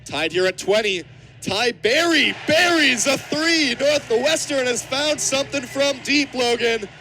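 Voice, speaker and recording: A man's voice: very high voice, animated, unhurried, sharp tone, slight background noise, poor audio. A man in his twenties calling live basketball play-by-play on the radio. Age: 20s.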